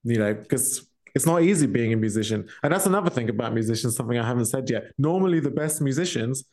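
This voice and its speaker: A British man speaking candidly as a musician guest on a podcast, in a halting, reflective way. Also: mid-range voice, expressive, darkish tone.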